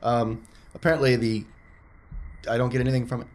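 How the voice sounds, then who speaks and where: A man's voice: medium pitch, flat delivery, fairly quick, a little mellow. A man talking casually as a player in a tabletop roleplaying game, with noise in the recording.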